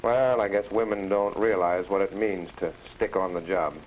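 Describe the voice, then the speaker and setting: A man's voice: low-pitched, steady tone, moderate pace, close to an everyday voice. An American man acting as a plain-spoken, slightly baffled factory foreman in a 1940s instructional film, with a folksy, colloquial manner. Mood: grumbling, troubled. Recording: noisy vintage 1940s film soundtrack.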